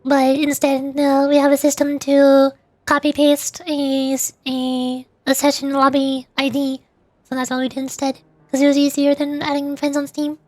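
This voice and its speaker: A woman's voice: high voice, completely flat delivery, normal speed, a little mellow, no echo. A young woman streaming a game, chatting casually and rambling with laughs and restarts.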